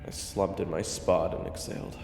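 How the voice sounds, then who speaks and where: A man's voice: deep voice, fairly even intonation, normal speed, darkish tone, lightly acted. A man playing a loyal, hot-headed swordsman who narrates a fantasy story in the first person, with dramatic emotion. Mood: grim narration. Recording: echoey, reverberant, music underneath.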